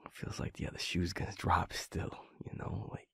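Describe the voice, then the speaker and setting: A man playing a tender, overwhelmed young werewolf boyfriend in an intimate audio roleplay, husky and gravelly, murmuring haltingly to a partner. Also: fairly bright tone, dry recording, whispered, studio quality, close to an everyday voice. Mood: reflective, vulnerable.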